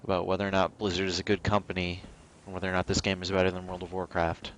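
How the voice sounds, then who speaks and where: A man giving casual, low-key livestream commentary on a game, musing aloud in a hesitant way. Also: level tone, fast, fairly bright tone, a little hiss or hum. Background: game music.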